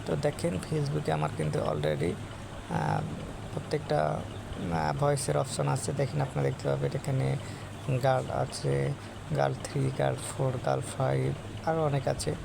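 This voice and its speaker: A man with a Bangladeshi accent gives an informal, halting tutorial as a vlogger, recorded on a phone. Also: mid-range voice, creaky. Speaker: vlogger demonstrating an app. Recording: noisy phone recording, steady background noise.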